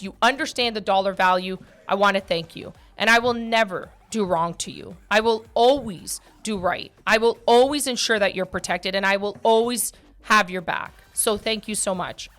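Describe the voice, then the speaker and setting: A woman in her forties with a fairly high, bright, forceful voice. She hosts a casual talk show, speaking bluntly and straight to her listeners, and repeats phrases to drive them home. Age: early 40s. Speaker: podcast host and mortgage brokerage owner.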